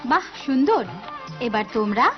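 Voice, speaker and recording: Encouraging schoolteacher, cartoon, gentle manner, high pitch, muffled lo-fi audio. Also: female voice, lively intonation, moderate pace. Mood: kindly. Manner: gentle, patient instruction. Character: kind, encouraging young schoolteacher. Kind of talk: cartoon voice acting. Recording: dull lo-fi cartoon audio with music.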